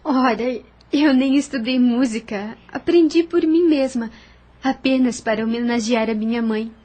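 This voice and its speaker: An actress in an audio novel playing a proud, frank but kindly young widow on a colonial farm, speaking formally and directly. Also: female voice, fairly high pitch, measured pace, warm tone. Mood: modest, tired.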